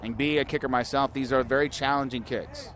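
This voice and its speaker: A man's voice: medium pitch, fairly even intonation, a little fast, fairly bright tone, a little hiss or hum. A man commentating a youth rugby match at an outdoor field, explaining the rules and lifting his voice on big plays. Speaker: play-by-play commentator. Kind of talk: live sports commentary.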